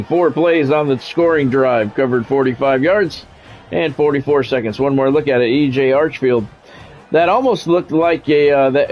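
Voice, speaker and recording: Male streamer, higher-pitched voice, sports announcer phrasing, casual asides, slightly dull audio, music. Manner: announcer-style calls in short clipped phrases. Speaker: live streamer and play-by-play commentator. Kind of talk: sports play-by-play commentary mixed with casual chat to viewers.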